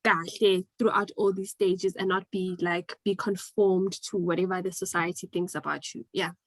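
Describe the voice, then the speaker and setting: A 20-year-old university student, speaking earnestly as an interview guest. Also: female voice, on the low side, steady tone, moderate pace, no room sound.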